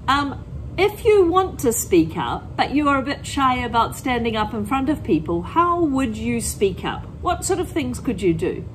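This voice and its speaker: A woman's voice: on the high side, a little hiss or hum, poor audio. A middle-aged woman teacher explaining things to children in plain words and reading aloud expressively from a picture book. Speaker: primary school teacher.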